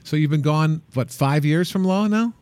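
A man's voice: a little high, normal speed, a little mellow, no room sound. A man hosting a radio travel show, upbeat and joking, who often restarts his questions. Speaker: host.

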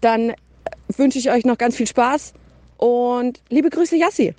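A woman's voice: on the high side, slow pace, darkish tone. A woman leaving a casual, slangy voice message with a question for a show. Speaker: listener sending a question by voice message.

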